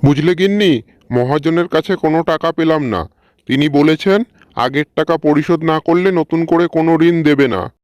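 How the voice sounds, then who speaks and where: A man's voice: on the high side, moderate pace, a little mellow, no room sound, natural voice. A man voicing a humble, hard-pressed village farmer in a cartoon, plain and earnest.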